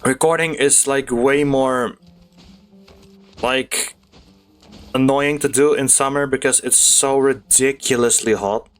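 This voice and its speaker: A man giving casual, excitable gameplay commentary, chatting to his viewers as a gaming YouTuber. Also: mid-range voice, slow pace, long pauses. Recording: game music behind voice. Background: video game music.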